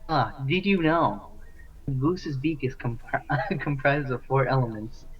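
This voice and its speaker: A man's voice: medium pitch, unhurried, husky. Goofy young man on distant dull mic. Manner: goofy, playfully preachy. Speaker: podcast guest. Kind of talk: casual chat.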